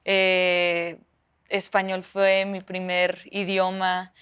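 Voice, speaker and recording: A young woman from the United States talks through her answers in an interview, stopping and restarting with frequent fillers. Her voice sits low and smooth, with a clear tone. Her pitch barely moves. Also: monotone, extremely slow, fairly bright tone. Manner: hesitant, many fillers and restarts. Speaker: professional footballer.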